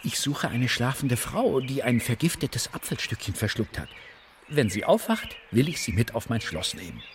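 An actor playing a vain young prince in a children's radio play, with a singsong, affected manner. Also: male voice, medium pitch, big pitch swings, moderate pace, recorded from a distance, background noise. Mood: smug. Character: vain, foppish young fairy-tale prince seeking a bride.

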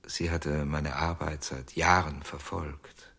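An actor playing a haunted, obsessive ventriloquist in a German radio drama, speaking hesitantly with many pauses. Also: male voice, a bass voice, steady tone, gravelly, sharp tone, husky, exaggerated voice. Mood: brooding. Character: haunted, obsessive male ventriloquist, middle-aged. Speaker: ventriloquist under questioning.

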